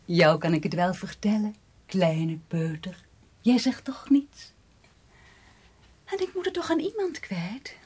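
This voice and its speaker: An actress in a Dutch radio drama playing a dreamy, infatuated young aunt who confides in breathy half-whispers to a small child. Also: female voice, medium pitch, expressive, slow, long pauses, a little mellow. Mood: dreamy, confiding.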